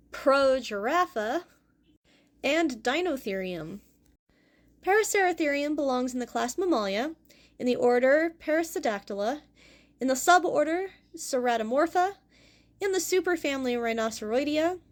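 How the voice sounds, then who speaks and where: Female host-teacher, young adult, slightly high voice with some vocal fry, clear explanatory lesson delivery. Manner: clear explanatory delivery, careful over hard names. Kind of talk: educational fossil presentation.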